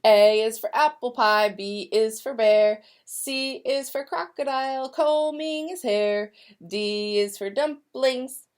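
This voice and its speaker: A woman's voice: a little high, takes it slowly. A woman voicing a playful little girl animal who stalls at bedtime in a storybook, with sing-song, pleading requests.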